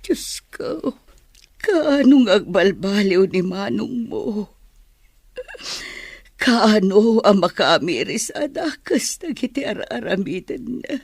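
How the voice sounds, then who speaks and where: A woman playing an aging, worried and pious village mother in an Ilocano radio drama, lamenting over her son in a husky voice. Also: mid-range voice, expressive, slow pace, a little mellow, no echo, studio quality, full character voice. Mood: worried, sorrowful.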